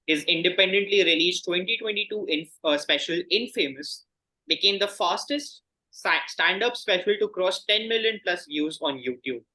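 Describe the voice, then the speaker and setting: Male quiz host, bright forceful tone, fairly high pitch, courteous and formal delivery of questions. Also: rough recording. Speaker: quizmaster. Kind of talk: reading quiz questions aloud.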